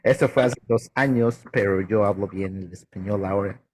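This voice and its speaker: A man's voice: fairly low pitch, normal speed, darkish tone. A man with a Mexico City accent, telling personal anecdotes in a chatty way on a discussion panel. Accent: Mexico City Spanish. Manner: storytelling, chatty, repeats words. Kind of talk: anecdotal casual chat. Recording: faint room noise.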